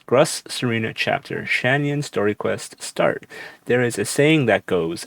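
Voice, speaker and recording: A man giving casual gameplay commentary as a streamer, sometimes reading game text aloud, with a gravelly voice. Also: fairly low pitch, no room sound. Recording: faint game music behind. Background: game music.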